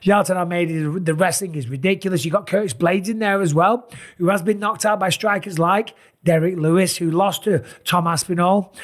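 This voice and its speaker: A middle-aged man with a raspy, sore voice, tired and talking in an animated, casual way as he breaks down fight matchups. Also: high voice. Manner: animated, emphatic, rambling asides. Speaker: MMA analyst and channel host. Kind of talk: casual fight commentary to camera.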